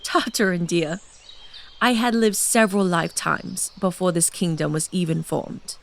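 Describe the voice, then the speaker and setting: A woman voicing an immortal, elegant queen who speaks softly and gently in an audio drama. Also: lowish voice, steady tone, normal speed, creaky. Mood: wistful. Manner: soft, gentle and wistful. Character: immortal elegant queen, soft-spoken blood mage.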